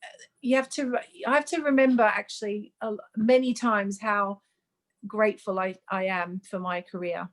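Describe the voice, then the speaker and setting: A woman's voice: medium pitch, steady tone, normal speed. A woman in her fifties, born in Sweden and raised in Australia. She talks as a guest in a casual interview, chatty and earnest, with lots of ums. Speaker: guest, makeup artist.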